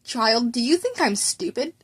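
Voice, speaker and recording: A woman's voice: a little high, lively intonation, a little slow, husky. A woman voicing a weary, stern male schoolteacher who is easily exasperated, in an amateur story reading.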